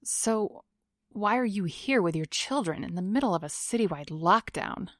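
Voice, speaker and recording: A woman's voice: medium pitch, lively intonation, moderate pace, no echo, clean studio sound, lightly acted. An actress playing a tough, sardonic woman vigilante in an audio drama, with a cool, commanding manner. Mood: probing.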